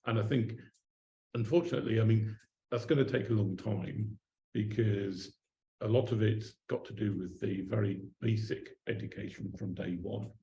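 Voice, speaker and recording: A man's voice: lowish voice, steady tone, normal speed, mellow, roomy sound, muffled recording. A man answering as a panelist at a conference, wry and thoughtful, often hedging his points. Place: conference room.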